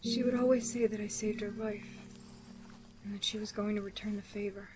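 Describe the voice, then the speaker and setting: A woman playing a guarded, sickly young survivor of cruel experiments in an audio drama, speaking in a wry, measured, confiding way. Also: mid-range voice, steady tone, background noise, lightly acted. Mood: resigned, determined.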